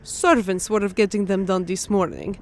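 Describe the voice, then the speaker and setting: A woman's voice: medium pitch, steady tone, normal speed, a little hiss or hum, lightly acted. A woman voicing a young, eager female creature who speaks in broken, oddly built sentences, in an audiobook story. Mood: eager to please. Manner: broken, oddly phrased foreign-sounding grammar. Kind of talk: audiobook character acting.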